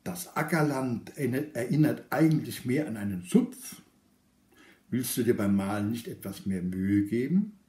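A man's voice: medium pitch, expressive, normal speed, warm tone, lightly acted. A man voicing a teasing, critical inner doubt companion in a storybook reading, needling and skeptical. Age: 60s. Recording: roomy indoor echo.

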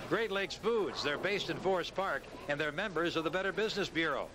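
High-pitched middle-aged American TV host. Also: male voice, animated, a little fast. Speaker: TV show host and bowling commentator. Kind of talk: local TV presenting, interviews, sponsor plugs and commentary. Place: large indoor bowling alley. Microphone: handheld microphone. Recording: noisy videotape, bowling alley ambience.

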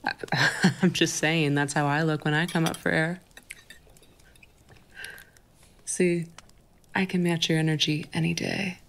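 A woman playing a playful, flirty, tender best friend with a crush in an intimate, halting ASMR roleplay. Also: low-pitched, fairly even intonation, creaky. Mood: playful, teasing. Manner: teasing, halting, giggly delivery. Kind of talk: intimate ASMR roleplay, acted one-sided conversation.

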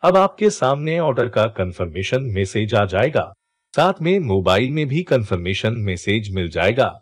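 A man's voice: on the low side, big pitch swings, moderate pace, slightly rough. A man hosting a tutorial channel, walking viewers through each click step by step in a screen-recorded voice-over. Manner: instructive, explains each click.

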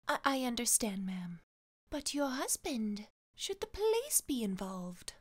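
A woman's voice: a little high, big pitch swings, unhurried. A woman playing a caring, anxious maid in a gothic horror radio play. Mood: worried, hesitant.

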